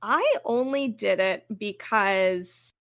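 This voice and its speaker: A woman's voice: medium pitch, animated, slow, fairly bright tone. A woman co-hosting a podcast, chatting informally and candidly with a giggly manner. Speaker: returning podcast co-host. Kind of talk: casual chat.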